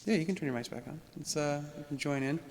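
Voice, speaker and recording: A man's voice: a little high, fast talker. A man chatting casually and slangily while hosting and teaching a tabletop game. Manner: informal, slangy, calls people man and dude. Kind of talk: casual chat over a board game. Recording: background noise at a game table.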